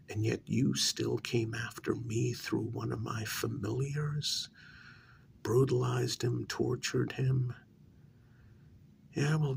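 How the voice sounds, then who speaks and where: Vengeful male vampire , performed by a man, ASMR role-play. Lowish, breathy, quietly threatening, very unhurried delivery, faint noise behind. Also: full character voice. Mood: cold anger. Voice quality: breathy half-whisper with gravelly fry. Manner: slow, deliberate, quietly threatening with drawn-out pauses. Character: cold, vengeful male vampire lord, menacing and cruel.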